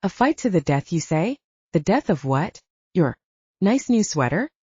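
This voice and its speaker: A woman's voice: fairly low pitch, big pitch swings, a little slow, darkish tone, slightly rough, no echo, muffled recording, exaggerated voice. A woman voicing a brusque, sardonic, menacing man in an audiobook, with a curt, taunting manner. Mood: mocking. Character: Armand, gruff, sardonic male ex-butcher, brusque and menacing. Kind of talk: audiobook character acting.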